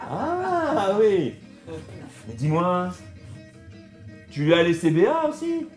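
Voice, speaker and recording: A man acting in a comedy sketch, teasing and wry in lively banter. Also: sits high, slow pace, faint music behind.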